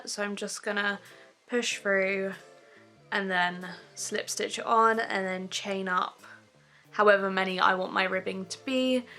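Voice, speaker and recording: A woman's voice: medium pitch, pushed and bright. A young woman giving a casual, chatty step-by-step crochet tutorial at home, with frequent ums.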